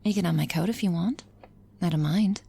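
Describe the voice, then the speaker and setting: A woman acts out a teasing, cynical female boss in an intimate ASMR roleplay. Her voice is fairly low and husky, with a crackle of fry. She speaks playfully and flirtatiously, often dropping to a breathy whisper. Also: brisk, mellow. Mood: teasing, caring.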